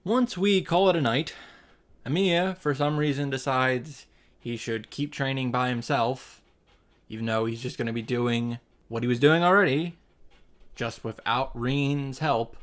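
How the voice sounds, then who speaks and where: A man's voice: mid-range voice, unhurried. A man hosting a reaction series, giving an informal, wry recap with running commentary. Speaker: host of visual novel reaction series. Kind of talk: casual recap narration with commentary. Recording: music behind the voice.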